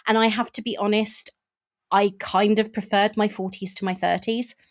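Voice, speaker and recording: A woman's voice: medium pitch, little pitch movement, normal speed. A woman in her fifties hosting a book podcast, frank and conversational, with restarts. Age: 50s. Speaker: podcast host. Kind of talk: casual interview chat.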